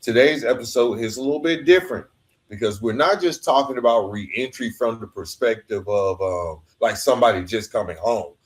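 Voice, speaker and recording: A man with a medium-pitched voice hosts a podcast in a casual, conversational style. He talks informally, addressing listeners directly between his interview questions. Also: normal speed.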